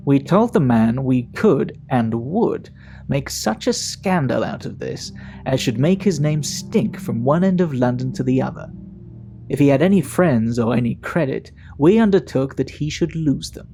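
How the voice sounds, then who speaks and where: Man playing a mellow Victorian gentleman storyteller. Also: medium pitch, lightly acted. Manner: measured storyteller, emphatic, old-fashioned gentlemanly phrasing. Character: Victorian gentleman recounting a grim night-time incident, indignant yet composed. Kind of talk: audio drama, dramatic storytelling.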